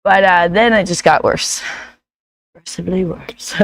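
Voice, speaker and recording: A woman with a hoarse, gruff voice chatting casually in her vlog, rambling and laughing often. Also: on the low side, measured pace. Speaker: vlogger. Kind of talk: casual chat.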